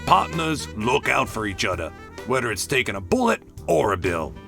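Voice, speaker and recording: Man acting a gravelly, gruff older agent. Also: medium pitch, big pitch swings, normal speed, fairly bright tone. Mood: gruffly kind. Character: gruff, protective older male agent, wry and stubborn. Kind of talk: comic dub voice acting. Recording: music behind the voice.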